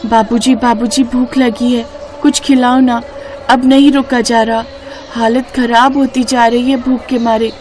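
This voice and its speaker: Woman as a whining hungry village child, high voice. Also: flat delivery, a little slow, darkish tone, soft background music, natural voice. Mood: whining, pleading.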